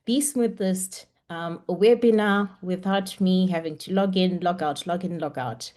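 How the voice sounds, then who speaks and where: A woman's voice: lowish voice, a little slow, warm tone. Chatty young woman teaching an online class. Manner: chatty, encouraging, frequent ums. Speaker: webinar instructor. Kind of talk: online lecture. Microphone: computer mic on a video call.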